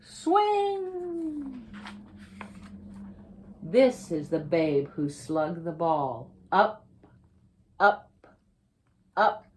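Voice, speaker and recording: Slow, low-pitched woman reading a storybook theatrically. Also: sing-song, a little hiss or hum. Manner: theatrical, emphatic, drawn-out suspenseful phrasing. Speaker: children's author reading own picture book aloud. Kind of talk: dramatic read-aloud storytelling for children.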